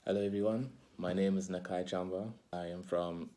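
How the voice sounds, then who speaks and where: A man in his twenties from Zimbabwe, giving a measured, thoughtful self-recorded reflection. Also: sits low, steady tone, dark tone. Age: 20s.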